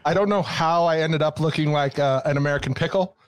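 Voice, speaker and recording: A man's voice: fairly high pitch, fast talker, smooth, dry recording. A man co-hosting a podcast in casual chat, rambling and self-deprecating as he tells stories. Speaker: podcast co-host. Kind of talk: casual banter and storytelling.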